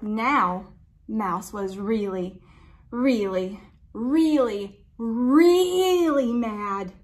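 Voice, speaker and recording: A young adult woman reading a children's picture book aloud with expressive, drawn-out emphasis, pausing to put questions to young listeners. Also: on the high side. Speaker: library storytime reader.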